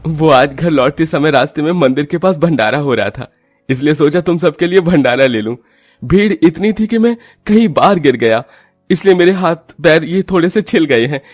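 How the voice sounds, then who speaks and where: A man's voice: on the high side, lively intonation, moderate pace, no room sound, voice pushed up. A man playing a poor, hardworking husband in a cartoon story, tender, anxious and earnest. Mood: reassuring, eager. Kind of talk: Hindi cartoon story voice acting.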